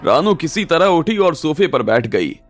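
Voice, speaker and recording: A man's voice: a little high, big pitch swings, measured pace. Male story narrator over faint music. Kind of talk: dramatic scripted audiobook narration.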